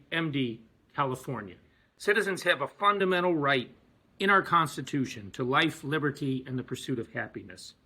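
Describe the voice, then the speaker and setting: A man delivering a prepared advocacy speech as a physician, emphatic and deliberate with pauses. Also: fairly high pitch, sharp tone, slightly rough. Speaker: physician and activist.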